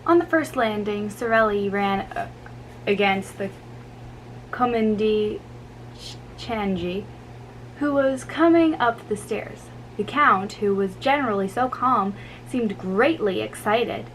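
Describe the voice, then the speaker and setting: Slow, halting woman reading a story. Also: medium pitch, rough recording. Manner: halting, stumbles over foreign names. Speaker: amateur reader. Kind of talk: dramatic book reading. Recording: faint background noise.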